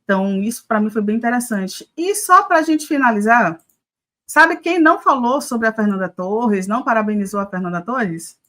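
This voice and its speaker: A woman's voice: a little high, animated, normal speed, sharp tone. A Brazilian woman hosting a live news commentary show, talking conversationally with many hesitations.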